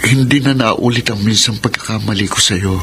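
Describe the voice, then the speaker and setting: A man's voice: lowish voice, normal speed, noisy background, close to an everyday voice. An actor with a Filipino accent playing a placating, defensive young man in a radio drama, hesitant and pleading. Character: defensive young boyfriend and respectful son, placating. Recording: music bed under the voice.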